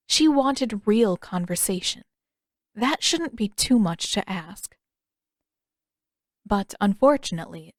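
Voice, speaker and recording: A woman's voice: mid-range voice, no room sound. Female fiction narrator, medium register, close dry studio-like sound, scripted storytelling read. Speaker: audiobook narrator.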